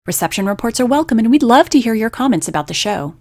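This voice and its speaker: A woman hosting a poetry radio program, reading from a script and reciting verse with measured, deliberate phrasing. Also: on the high side, very animated, a little mellow, no room sound, clean studio sound.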